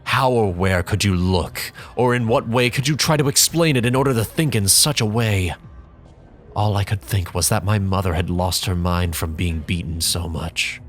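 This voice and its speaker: A man giving a dramatic first-person reading, measured, bitter and reflective. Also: sits low, soft background music. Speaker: reader.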